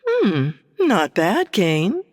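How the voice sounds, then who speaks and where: A woman's voice: mid-range voice, wildly swooping pitch, extremely slow, darkish tone, in a large hall, exaggerated voice. An actress playing a sly female villain's accomplice with a cool, sardonic manner.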